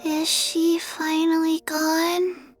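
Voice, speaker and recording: Very high, drawling sly snake. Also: female voice, completely flat delivery, drawn-out words, over music, full character voice. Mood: exasperated. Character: small, sly, haughty talking snake. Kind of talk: video game voice acting.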